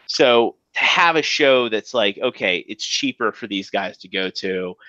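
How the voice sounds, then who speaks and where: A man's voice: fairly low pitch, lively intonation, unhurried, pushed and bright, dry recording, highs cut off. A man with a Maryland accent co-hosting a podcast, rambling casually with lots of fillers and restarts. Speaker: podcast co-host. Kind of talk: casual chat.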